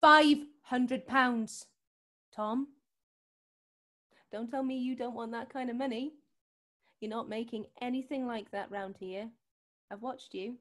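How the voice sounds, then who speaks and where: An actress plays a determined British woman in a stage drama, worried for her sister. Her voice sits high. She presses insistently and has a scornful edge. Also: slow pace, long pauses, a bit bright. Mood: bargaining, insistent. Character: worried, determined sister confronting a drug dealer. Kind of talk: acted scene from a drama showcase.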